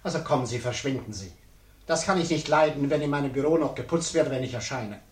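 An actor playing a pompous, irritable and easily flustered small-office boss in the manner of 1950s German radio comedy, nagging and impatient. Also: male voice, medium pitch, quick pace, close to an everyday voice. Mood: bossy, impatient. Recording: 1950s radio recording, noisy, clicks.

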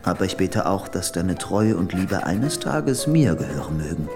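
A man's voice: deep voice, sing-song, normal speed. An actor playing a young, obsessively lovesick man in a radio play, brooding, curt and defensive. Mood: longing, self-reproachful. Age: young adult. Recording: music behind the voice.

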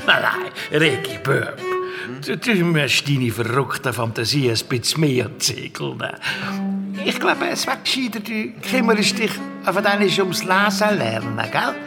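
A man's voice: on the high side, sing-song, unhurried, vocal fry, over music, exaggerated voice. A man with a Swiss German accent playing a secretive, teasing monk and herbalist in a children's audio drama. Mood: amused, admonishing.

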